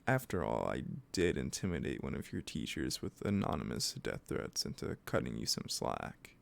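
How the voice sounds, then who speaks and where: A man playing an obsessive, doting yandere kidnapper in an intimate roleplay audio, gushing and coaxing with a sweetly menacing edge and halting asides. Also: extremely low pitch, very animated, vocal fry, full character voice. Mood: tender, sheepish. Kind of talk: scripted roleplay audio, intimate one-sided dialogue.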